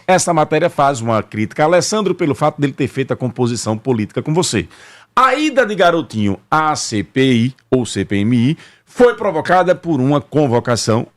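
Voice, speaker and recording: A man interviewing a guest, asking long questions in a lively, announcer-like way. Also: mid-range voice. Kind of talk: reading ads and asking questions.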